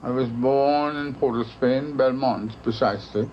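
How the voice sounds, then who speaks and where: An actor playing an elderly man from Trinidad, a former judge and diplomat, speaking slowly with dry self-amusement. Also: medium pitch, dull audio, slight background noise, lightly acted. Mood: wry.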